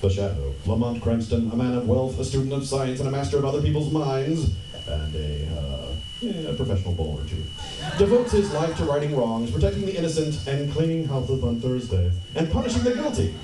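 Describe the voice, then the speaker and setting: Male live radio announcer, hammy and animated, echoey room with loud noise. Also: medium pitch, a little fast. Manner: hammy, playful. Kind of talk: live old-time radio announcing with comic asides. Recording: echoey noisy convention room, distant mic.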